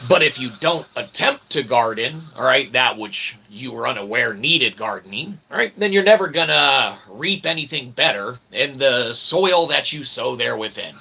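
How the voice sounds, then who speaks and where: A man's voice: mid-range voice, expressive, moderate pace, gravelly, hardly any pauses, sharp tone, slight background noise, rough recording. A man giving a rambling, tongue-in-cheek lecture in wordy mock-academic phrasing, checking in often with small tags. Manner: wordy mock-academic phrasing with frequent "all right, okay" check-ins. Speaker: online philosophy show host.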